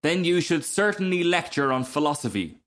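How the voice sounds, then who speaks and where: A man's voice: a little high, big pitch swings, moderate pace, a bit bright, pitched above the performer's own voice. A man voicing a dry, matter-of-fact dragonfly in a storybook. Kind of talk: storybook character reading.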